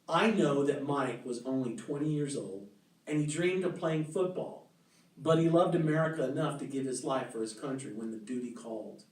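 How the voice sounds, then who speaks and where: A man reading the part of a gentle, solemn mother in a storybook, explaining earnestly and in measured phrases to her children. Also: fairly high pitch, natural voice. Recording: roomy echo, distant mic, music behind.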